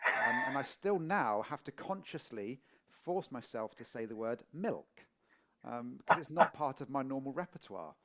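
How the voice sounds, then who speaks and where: A man from Portsmouth with a Middle England accent, hosting a casual interview and telling relaxed anecdotes. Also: medium pitch, normal speed. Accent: Middle English. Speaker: interviewer, podcast host.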